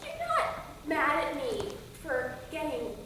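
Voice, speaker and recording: A jittery young actress, played by a woman, high and lively in pitch, stumbling over her words. She sounds far from the mic in a very echoey room with clear background noise. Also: slow pace, heavily acted. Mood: nervous. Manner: hesitant, stammering. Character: nervous young actress who got the lead.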